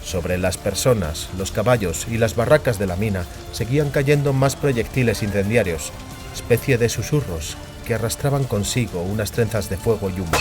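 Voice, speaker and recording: Low-voiced male narrator over music. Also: normal speed. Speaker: audiobook narrator. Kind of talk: scripted dramatic fantasy narration.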